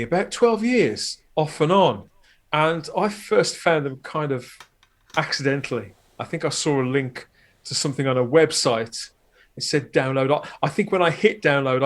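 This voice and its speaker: A man guesting on a podcast, talking casually and discursively, often interrupting his own sentences. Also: fairly high pitch.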